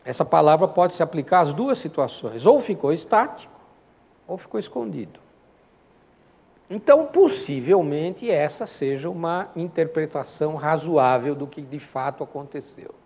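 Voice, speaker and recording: A man's voice: fairly high pitch, lively intonation, moderate pace, gravelly, darkish tone, breathy edge. An older man with a Brazilian accent teaches an informal Bible class in a didactic way, often posing questions and answering them himself. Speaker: Sunday school teacher. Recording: long hall reverb.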